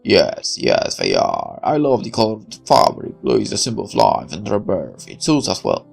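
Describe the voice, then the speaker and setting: A man voicing a doting but commanding middle-aged military father in a visual novel, measured and firm. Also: low voice, huge pitch swings, creaky, slightly rough, faint music behind, pitched below the performer's own voice, heavily acted. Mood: doting, indulgent.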